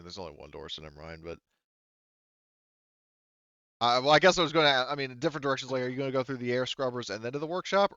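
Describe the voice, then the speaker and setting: A man acting as game master, casually narrating a tabletop game with halting, self-interrupting phrasing. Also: fairly low pitch, a bit bright, clear, resonant tone, no room sound, lo-fi sound.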